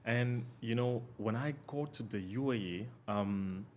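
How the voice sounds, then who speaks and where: A man's voice: medium pitch, unhurried, a little mellow, a little hiss or hum. A young man from Cameroon, a podcast guest, speaking earnestly and reflectively with many "you know" fillers. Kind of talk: casual interview talk.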